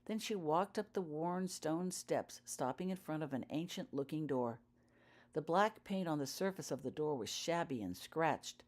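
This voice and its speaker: A woman's voice: low voice, expressive, moderate pace, darkish tone. A woman reading her own fiction aloud as audiobook narration, telling the story in character-focused prose.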